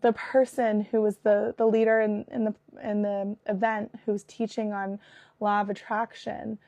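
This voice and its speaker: A woman in her thirties with a cold, hosting her podcast with an earnest, animated manner and a hoarse, raspy voice. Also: medium pitch, moderate pace, no room sound. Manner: earnest, animated, with frequent fillers and restarts. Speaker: podcast host and spiritual business coach. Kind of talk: casual spoken monologue.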